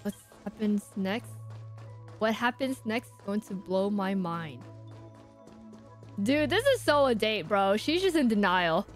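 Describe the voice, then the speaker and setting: A woman's voice: mid-range voice, animated, measured pace, long pauses. A woman livestreamer reacting casually and slangily to a game, with game music behind her. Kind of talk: casual gameplay reaction chat. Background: video game music.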